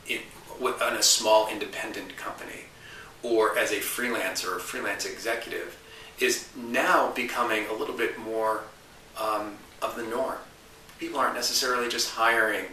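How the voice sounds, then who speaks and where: Man in his forties, bright and roomy. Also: fairly low pitch. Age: 40s. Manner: thoughtful, restarts phrases, rises in emphasis. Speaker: interview guest, marketing consultant. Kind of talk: reflective interview answers. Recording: roomy, distant mic, faint hiss.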